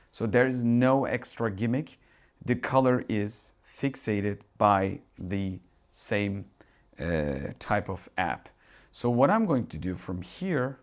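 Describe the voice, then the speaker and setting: A man from Turkey with a Turkish accent, a tech reviewer talking casually through settings as he changes them, thinking aloud with restarts and asides in a dark home cinema room. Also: medium pitch. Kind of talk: casual live product demo.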